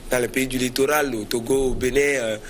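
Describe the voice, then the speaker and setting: A man's voice: mid-range voice, fairly even intonation, a little fast, a crowd in the background. A man giving spontaneous commentary as a debate panelist, often hesitating and repeating words as he builds his argument. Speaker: panelist on a current affairs debate. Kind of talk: spontaneous political commentary in a discussion.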